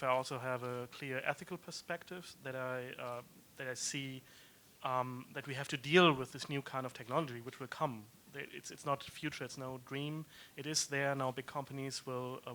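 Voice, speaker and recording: Bright-voiced man explaining his research. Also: medium pitch, slight background noise. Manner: earnest, explanatory, many fillers. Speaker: panelist, researcher. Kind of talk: self-introduction on a panel.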